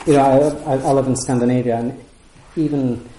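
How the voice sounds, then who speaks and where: A man's voice: mid-range voice, fairly even intonation, moderate pace, dark tone, echoey room, low-quality recording. A man giving an informal lecture and answering audience questions, thoughtful and full of restarts. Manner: thoughtful, many restarts and hedging fillers. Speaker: lecturer on Sanskrit texts. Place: room with audience.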